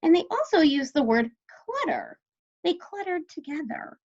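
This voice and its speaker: A woman teaching young children, asking questions and explaining words in simple, deliberate terms. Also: very high-pitched, sing-song, a little mellow, dull audio. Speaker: teacher guiding young children through a story.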